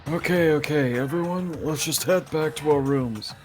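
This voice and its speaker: Man doing a brash, high-ish bossy teenage boy. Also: moderate pace, over music, lightly acted. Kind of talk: amateur character voicing of game dialogue.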